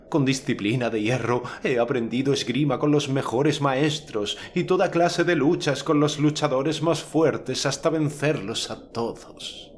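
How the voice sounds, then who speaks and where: A broken knight hero, acted by a male reader, speaks hoarsely with bitter, dejected pride. His voice sits at a middling pitch in this fantasy audiobook, with clear background ambience. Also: a little hiss or hum, lightly acted. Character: defeated knight hero, despairing, proud of his strength.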